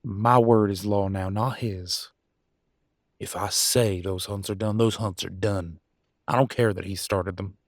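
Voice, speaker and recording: A man playing a conflicted werewolf pack alpha in an audio roleplay, arguing emphatically in colloquial, sweary speech with dramatic pauses. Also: lowish voice, steady tone, no room sound, studio quality. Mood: defiant. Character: Damien, conflicted male werewolf pack alpha, defiant and remorseful. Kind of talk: scripted audio roleplay, one side of an argument.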